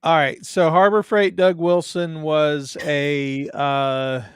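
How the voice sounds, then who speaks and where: A man with a Southern US accent chats as a guest, poking fun at himself as he tells stories. His voice sits a little high and comes across smooth and resonant. The sound is close and dry, with no room in it. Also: level tone, slow pace, dry recording. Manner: self-deprecating, joking storyteller. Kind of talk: casual chat.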